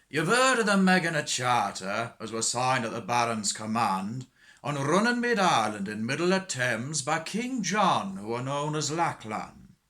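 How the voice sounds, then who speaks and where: A man's voice: medium pitch, sing-song, takes it slowly, sharp tone, exaggerated voice. A man playing a droll working-class storyteller, reciting a rhymed comic monologue in a singsong, tongue-in-cheek way.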